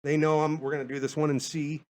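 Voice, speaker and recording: A man's voice: on the high side, little pitch movement, fast, a little mellow. A man hosting a podcast in casual, rambling chat, loose and informal, thinking aloud as he goes. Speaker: podcast host and amateur musician. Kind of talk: casual rambling chat between live songs. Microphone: podcast mic being moved around in a group music session.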